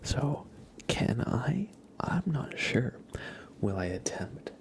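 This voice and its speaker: Man whispering, low and gravelly. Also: big pitch swings, slow. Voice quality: breathy whisper. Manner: playful, teasing, dry humor. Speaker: ASMR live streamer. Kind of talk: whispered ASMR livestream chat, replying to viewers.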